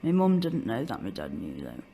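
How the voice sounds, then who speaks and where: A woman's voice: very deep voice, huge pitch swings, moderate pace, gravelly, mellow, breathy edge. A woman giving candid, informal testimony in a blunt, colloquial way. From Newcastle-under-Lyme, England. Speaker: interviewee telling own story of homelessness.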